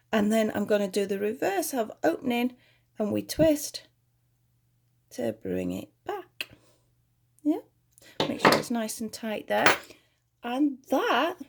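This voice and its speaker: A British woman hosting a crochet tutorial at home, chatty and rambling as she talks through each step, her voice a little croaky. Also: on the high side, a little mellow. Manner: friendly, rambling, talks while working.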